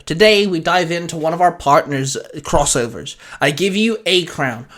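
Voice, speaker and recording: Higher-voiced male channel host. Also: a bit bright. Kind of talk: scripted channel introduction.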